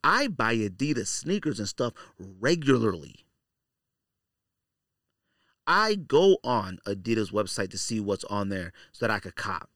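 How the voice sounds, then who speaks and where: A man's voice: lowish voice, very animated, unhurried, a bit bright, dry recording, studio quality. A man hosting a solo podcast, talking casually and directly to listeners in slangy, opinionated speech, repeating phrases for emphasis. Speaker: podcast host.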